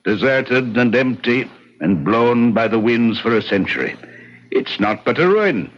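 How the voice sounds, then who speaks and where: An actor playing a sly, bossy Irish innkeeper and gun-runner in a radio drama, with a husky, folksy, commanding delivery. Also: male voice, mid-range voice, very animated, a little slow. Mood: folksy, blunt. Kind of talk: old-time radio drama acting. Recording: dull, muffled old radio recording.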